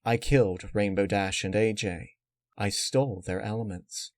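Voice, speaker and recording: A man voicing a cold, calculating female unicorn villain who explains menace in a measured, matter-of-fact way. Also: on the low side, steady tone, a little mellow, no echo, studio quality, close to an everyday voice. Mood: calm, grave.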